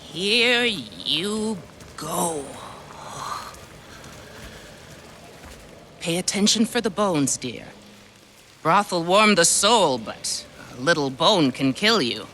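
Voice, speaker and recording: A woman's voice: fairly low pitch, very animated, slow, a bit bright, a little hiss or hum, heavily acted. A woman playing a sweet-talking, cunning old widow with a doting, theatrical manner in a video game. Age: older. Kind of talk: video game cutscene acting.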